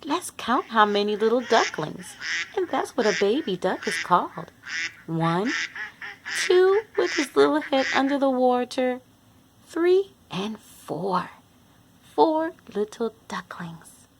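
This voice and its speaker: A woman's voice: a little high, big pitch swings, takes it slowly, gravelly, background noise. A woman reading a picture book aloud to young children, speaking expressively and addressing them directly as host. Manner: acts out animal sounds. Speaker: host and reader of a children's read-along.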